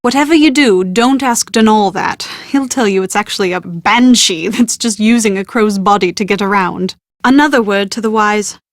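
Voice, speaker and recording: An actress playing a chatty, anxious young British woman in a video game, with a posh, expressive and wordy way of talking. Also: a little high, dry recording, studio quality, close to an everyday voice. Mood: wry, brisk. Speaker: voice actor in an adventure game. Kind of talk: scripted video game character dialogue.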